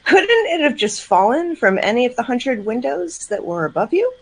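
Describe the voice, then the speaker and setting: A woman's voice: on the high side, very animated, moderate pace, slight background noise, poor audio. A woman playing a skeptical, questioning older sister in a comedy radio sketch. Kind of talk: live comedy sketch read as radio play.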